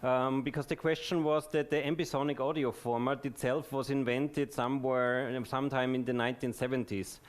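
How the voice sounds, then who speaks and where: A man's voice: mid-range voice, steady tone, a little fast, few pauses. A man presenting in a live technical talk, explaining things methodically.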